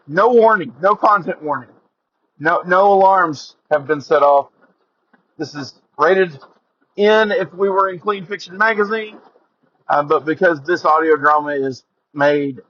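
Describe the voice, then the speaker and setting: A man's voice: high-pitched, a bit bright. A man hosting a podcast in a casual, informal, jokey way, often interrupting himself. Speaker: podcast host. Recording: dull lo-fi audio.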